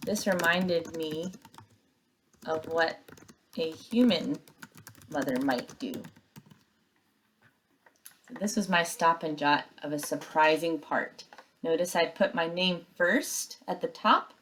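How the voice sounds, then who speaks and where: A woman teacher reading aloud and giving step-by-step instructions to young pupils in an expressive, emphatic way. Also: low voice, slow, pauses often, slight background noise.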